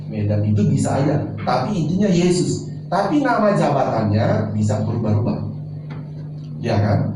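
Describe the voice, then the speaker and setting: A man preaching a sermon as pastor, teaching and putting questions to the congregation. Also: lowish voice. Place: large church hall. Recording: echoey church, far from mic, noisy.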